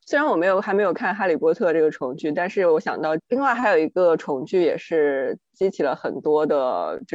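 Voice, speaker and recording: A woman's voice: deep voice, a little mellow, dry recording. A woman co-hosting a podcast in casual discussion, speaking in a reflective yet playful way. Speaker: podcast co-host. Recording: dull, band-limited dry audio.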